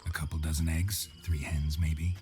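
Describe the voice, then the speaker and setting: An actor playing a gruff, world-weary monster hunter in a video game, terse and deadpan with a gravelly voice. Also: male voice, very deep voice, moderate pace, faint music behind. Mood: deadpan, probing. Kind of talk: video game drama acting.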